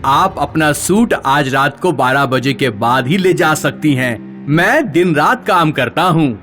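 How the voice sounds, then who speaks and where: A man voicing a ghostly village tailor, polite yet pleading, in an animated horror story. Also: a little high, takes it slowly, soft background music.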